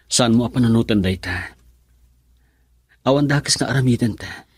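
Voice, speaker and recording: A man's voice: mid-range voice, moderate pace, husky, dry recording, lightly acted. An actor in an Ilocano radio drama playing an earnest, reassuring young man running away with his sweetheart.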